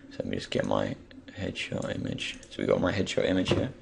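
Croaky, gravelly deep young man. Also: background noise. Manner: relaxed, thinking aloud with many pauses. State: just woken up. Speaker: tutorial creator. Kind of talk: screen-recorded software tutorial.

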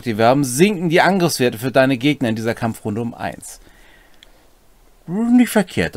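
A man's voice: medium pitch, no room sound. A middle-aged man casually explains game rules, adding wry, colloquial asides. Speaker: host of a tabletop role-playing rules series. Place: small furnished room at home, indoors.

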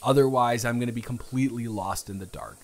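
A man's voice: medium pitch, fast. A young man improvising a first-person date roleplay, teasing and rambling with frequent restarts, recorded close on a home studio mic. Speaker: audio roleplay performer.

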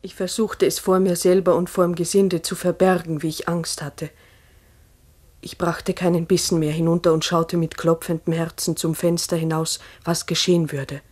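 A troubled farm wife , played by an actress, recounts something eerie in a 1960s radio drama. Her voice sits a little low and she speaks gravely, with deliberate care. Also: level tone, a little mellow, close to an everyday voice. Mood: grave recounting. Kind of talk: 1960s German-language radio play acting.

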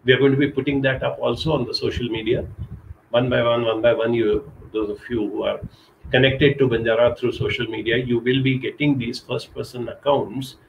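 A middle-aged man from India giving an earnest motivational talk over a webcam from a small curtained room, slowing down to stress key points. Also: mid-range voice, fairly even intonation, creaky, muffled recording, slight background noise. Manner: earnest, urging, slows down for emphasis. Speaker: counselor giving a live talk. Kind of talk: motivational live-stream talk. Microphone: webcam video call.

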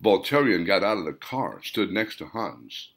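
A man reading a story as a scripted audiobook narration, in deliberate, dramatic phrases broken by pauses. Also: sits low, big pitch swings, fairly bright tone. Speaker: author reading own novel, narrator.

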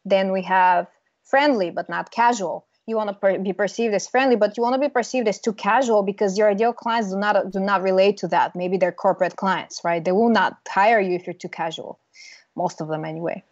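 A woman's voice: mid-range voice, fairly quick, no echo. A confident young woman from Bulgaria, a branding consultant speaking as a guest in a casual video-call interview, talking emphatically. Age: young adult. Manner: confident, emphatic, laughs now and then. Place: small room indoors. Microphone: earbud mic on video call. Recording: dull lo-fi video call audio.

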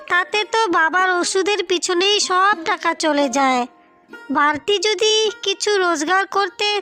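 A woman's voice: very high voice, sharp tone. A woman voicing a caring, worried young village wife in a cartoon tale, earnest and pleading. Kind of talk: Bengali cartoon fairy-tale voice acting.

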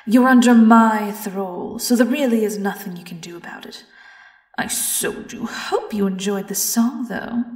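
Woman playing female vampire, British accent, silky, vengeful, mocking, theatrical, unhurried, distant from the mic, horror audio roleplay. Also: medium pitch, a little mellow, natural voice. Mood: gloating showmanship. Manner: taunting, theatrical, slipping into breathy whispers. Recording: roomy, distant, music behind.

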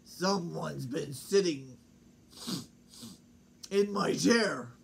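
A woman's voice: sits low, creaky, a little hiss or hum, heavily acted. A woman playing a grumpy papa bear. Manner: slow, grumbling.

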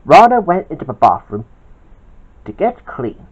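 Amateur storyteller reading out loud, super up and down, slow n choppy, kinda muffled lofi recording. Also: mid-range voice, sing-song, a little hiss or hum. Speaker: storyteller, channel host. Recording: dull, lo-fi home recording.

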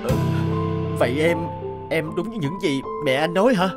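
A man playing an earnest, gentle young working man and devoted son in a cartoon. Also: fairly high pitch, expressive, normal speed, natural voice. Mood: upset, hurt. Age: young adult. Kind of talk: Vietnamese cartoon dialogue. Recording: loud music under the voice.

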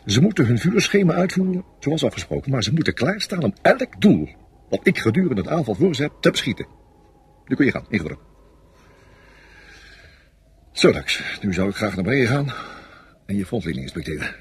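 A man's voice: mid-range voice, sing-song, fast talker, close to an everyday voice. Bossy fearless general acted by a guy in an old radio drama, very up and down, bit of noise. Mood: commanding. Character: commanding, fearless army general at the front. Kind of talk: Dutch radio drama acting.

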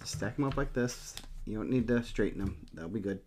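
A man's voice: lowish voice, a little fast, darkish tone, slight background noise. A middle-aged man chatting casually as he hosts a card-opening show from a desk in a small room. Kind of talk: casual chat while showing cards.

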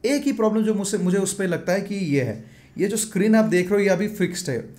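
A man's voice: high voice, animated, fast talker, a little mellow. A man in his thirties with an Indian accent, chatting casually in a home room as a moto vlogger reviewing action cameras, switching between Hindi and English. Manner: chatty, mixes Hindi and English, shows gear while talking. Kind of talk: casual gear review vlog. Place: home gaming room, indoors. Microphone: large foam mic on desk in front.

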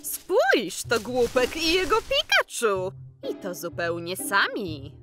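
A woman's voice: a little high, very animated, takes it slowly, over music. A woman voicing a sly, scheming female villain with a mocking tone in a fan comic dub.

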